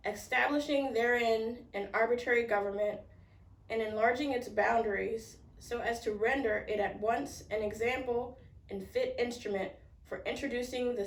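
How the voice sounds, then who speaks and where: A woman giving a formal public reading of a historic document. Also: a little high. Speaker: public reader at a commemorative event. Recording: roomy echo, distant microphone.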